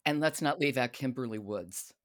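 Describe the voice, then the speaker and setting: A woman's voice: very deep voice, moderate pace. A woman hosting a podcast, chatting and asking questions in a conversational book discussion. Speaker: podcast host.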